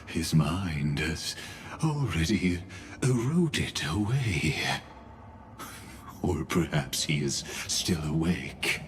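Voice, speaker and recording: A man voicing a grave, cryptic schemer in a video game, speaking solemn prophecy with deliberate, ominous pauses. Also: a bass voice, background noise, full character voice. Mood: measured, brooding. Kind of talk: dramatic video game voice acting. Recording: music behind the voice. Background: game music.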